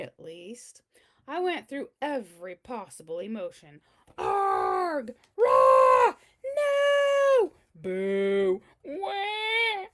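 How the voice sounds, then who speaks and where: A woman's voice: sits high, a bit bright, exaggerated voice. A woman voicing a boastful big cheese learning humility, telling its own picture-book story in a theatrical, emphatic way. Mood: anguished, exasperated. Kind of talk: first-person picture-book read-aloud.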